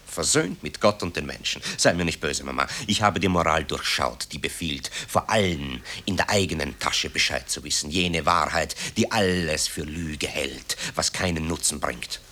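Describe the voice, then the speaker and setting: A man's voice: a bass voice, moderate pace, few pauses, pushed and bright, heavily acted. An actor playing a bitter, guilt-ridden composer who accuses his mother in eloquent, theatrical radio play style. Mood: bitter, agitated, then accusing, resolute. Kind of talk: German radio play acting.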